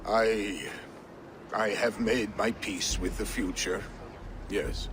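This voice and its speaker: An actor playing a weary, pious old Viking counselor and healer in a video game, grave and deferential. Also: male voice, on the low side, steady tone, slow. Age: older. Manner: grave, deferential, gently scolding. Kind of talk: video game cutscene acting. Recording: noisy game ambience behind dialogue.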